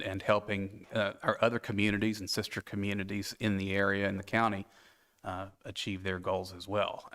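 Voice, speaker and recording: A man in his forties with a Tennessee accent, speaking earnestly with halting restarts as an applicant at a town board meeting. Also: lowish voice, fairly bright tone. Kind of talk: interview for a board appointment.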